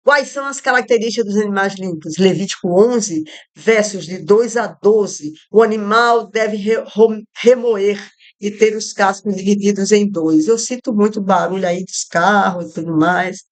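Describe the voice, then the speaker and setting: A woman's voice: medium pitch, moderate pace. A woman from Rio Grande do Norte in Brazil, with a Northeastern Brazilian accent, giving a casual Bible study at home and drifting through asides with many fillers and restarts. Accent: Northeastern Brazilian Portuguese. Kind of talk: informal Bible study talk. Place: home, not a studio, with street traffic outside. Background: soft background music.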